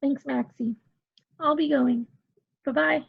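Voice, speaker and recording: A woman playing an earnest young woman who shares a soldier's letter in an amateur play reading over a video call, reading a little haltingly. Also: high voice, steady tone, vocal fry, no echo, full character voice. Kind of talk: amateur play table read. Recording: muffled video call audio.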